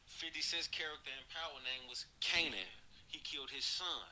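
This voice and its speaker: A man's voice: fairly high pitch, normal speed, bright, forceful tone, a little hiss or hum. A man on a podcast talking in a casual, rambling, insistent and profane way. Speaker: podcast co-host.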